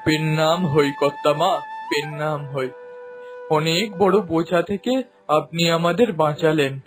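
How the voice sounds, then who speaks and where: A man's voice: fairly high pitch, steady tone, measured pace, over music, natural voice. A man playing a humble, elderly village washerman in a cartoon, speaking in a deferential, plaintive way. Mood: grateful, pleading. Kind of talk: Bengali cartoon voice acting.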